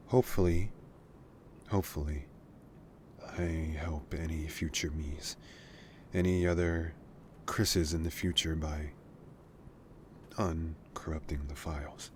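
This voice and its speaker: A man playing a weary, haunted lone crash survivor recording halting, murmured log entries in a horror audio drama. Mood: bewildered, weary. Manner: halting, brooding, trailing off. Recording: faint noise and low rumbling ambience.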